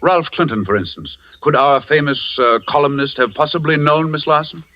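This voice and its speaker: A man playing a suave, shrewd gentleman detective in a vintage radio drama, with a probing, confident manner. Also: fairly high pitch, brisk, close to an everyday voice. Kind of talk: 1940s radio acting. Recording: dry, lo-fi vintage radio recording.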